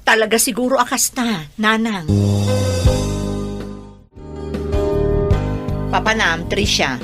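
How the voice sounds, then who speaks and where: A woman's voice: mid-range voice, normal speed, loud music behind the voice, lightly acted. A woman playing a strict, stubborn working mother in a radio drama, curt and insistent. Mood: resigned.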